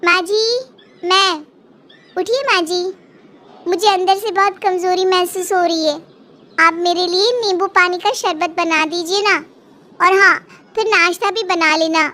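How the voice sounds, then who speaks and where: A woman's voice: very high-pitched, steady tone, a little slow, bright, forceful tone, pitched above the performer's own voice, full character voice. A woman playing a sly young daughter-in-law, sweetly insistent and politely bossy, in a cartoon story. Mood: feigned weakness. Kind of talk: Hindi cartoon moral story acting.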